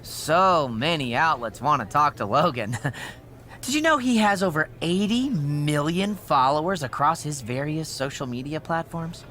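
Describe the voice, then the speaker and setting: A woman's voice: deep voice, animated, a little slow, a bit bright, a little hiss or hum. A woman playing a breezy, smug backstage assistant in a wrestling game, with a chipper, dismissive, needling manner. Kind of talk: acted video game cutscene dialogue.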